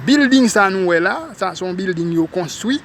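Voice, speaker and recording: A man from Haiti with a Haitian French accent, talking casually to viewers while walking outdoors on a city street and addressing them as ladies and gentlemen. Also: high voice, animated, moderate pace, slight background noise. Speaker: vlogger. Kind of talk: casual walking vlog monologue.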